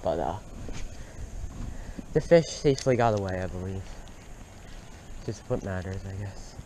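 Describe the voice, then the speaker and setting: A young man narrating casually to viewers while fishing outdoors, with relaxed, muttered asides, recorded on a head-mounted camera. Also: deep voice, measured pace. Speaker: fishing vlogger. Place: outdoors by a lake and river. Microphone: head-mounted action camera. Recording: noisy outdoor action-camera audio. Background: outdoor ambience.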